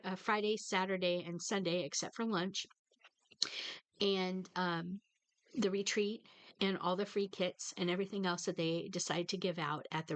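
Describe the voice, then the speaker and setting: A woman's voice: fairly low pitch, no room sound, poor audio. A woman vlogger chatting casually about stitching, stuffed up from a head cold and a bit tearful, rambling with fillers and restarts. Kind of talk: casual chat to viewers.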